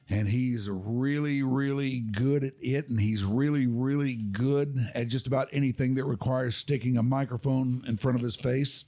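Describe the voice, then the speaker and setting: An older man hosting a podcast in a casual, deliberate way, repeating phrases for emphasis. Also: mid-range voice, dark tone. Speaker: podcast host.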